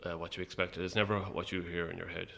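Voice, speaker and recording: A man's voice: low voice, level tone, quick pace, creaky, a bit bright, slight background noise. An Irish man chatting casually as an interview guest, a little hesitant and self-effacing. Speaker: guest, playwright.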